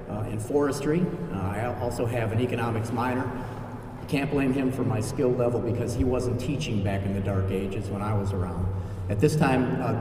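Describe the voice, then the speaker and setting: A man's voice: mid-range voice, darkish tone. A man runs a press event, introducing speakers and fielding questions in an upbeat, promotional way. His mid-range voice sounds distant and washes around a strongly reverberant hall, with noise behind it. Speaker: event organizer and emcee. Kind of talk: press conference remarks. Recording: very echoey hall, distant mic, background noise.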